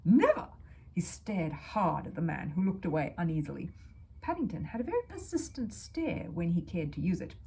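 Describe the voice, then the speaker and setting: A woman reading a children's story aloud as an expressive narrator. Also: lowish voice, a little fast, gravelly, a little hiss or hum, low-quality recording.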